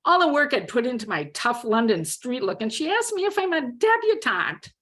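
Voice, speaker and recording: Female reader as tough-acting young college woman, sarcastic and snappy, pitched up, story character. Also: big pitch swings, normal speed, fairly bright tone. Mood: defiant, snarling.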